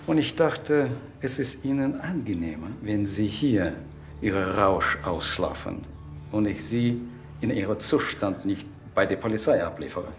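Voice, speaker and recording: Composed, lowish-voiced courteous householder. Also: male voice, lively intonation, measured pace. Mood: calm, courteous. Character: polite, composed Russian-speaking businessman and father, host at home. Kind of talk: naturalistic TV crime drama acting.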